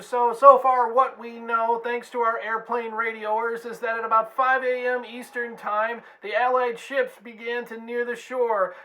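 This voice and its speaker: A woman's voice: on the high side, fairly bright tone, low-quality recording. A woman playing a solemn, patriotic 1940s war newscaster reading a scripted radio report.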